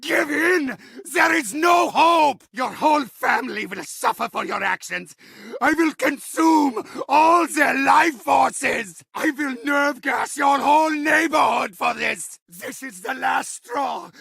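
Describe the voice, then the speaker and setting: A mad scientist villain, over a hundred years old, taunting and raging at the player in a video game. The voice is high-pitched and gravelly, with a sharp, strained edge. It is recorded dry and close in a studio. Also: male voice, sing-song, normal speed, no room sound, full character voice. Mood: furious. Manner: theatrical shouting tantrums and gloating taunts. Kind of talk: video game announcer voice lines.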